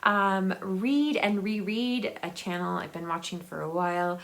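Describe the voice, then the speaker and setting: A woman hosting a book vlog, chatting casually and personally to viewers, often halting and repeating words as she searches for them. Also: mid-range voice, fairly even intonation, vocal fry, fairly bright tone. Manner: informal, personal address to viewers. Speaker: host of a book video channel. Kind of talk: casual chat to camera.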